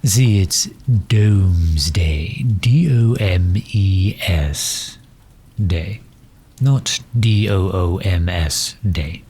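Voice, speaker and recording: A man playing a pompous, pedantic but affable gentleman supervillain in an ASMR roleplay, with a husky, breathy voice and a wordy, condescending manner full of hesitant pauses. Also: sits low, heavily acted. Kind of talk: ASMR roleplay audio drama.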